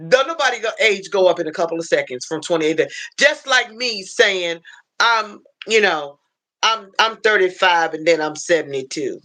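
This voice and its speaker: Bright-voiced American woman, chatting live. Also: on the low side, normal speed. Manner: animated storytelling, repeats phrases, acts out quotes. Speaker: livestream host. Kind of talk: casual livestream chat.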